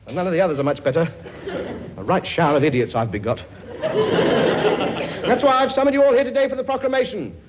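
A man's voice: high-pitched, animated, a little fast, long pauses, dull audio, heavy background noise. A blustering, wisecracking king. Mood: lecturing. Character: bossy joking middle-aged king with many children. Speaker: comic actor. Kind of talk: live comedy sketch.

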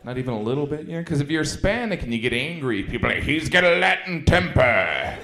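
A man's voice: fairly high pitch, sing-song, fast talker. A man doing a stand-up comedy set on a club stage, delivering dry, self-deprecating punchlines. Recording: echoey club with loud crowd laughter. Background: audience laughing.

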